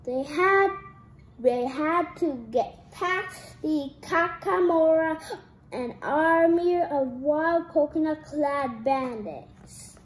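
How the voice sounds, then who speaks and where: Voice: high voice, extremely slow. A young-sounding reader reading a storybook aloud carefully, restarting phrases after stumbles, in an echoey room.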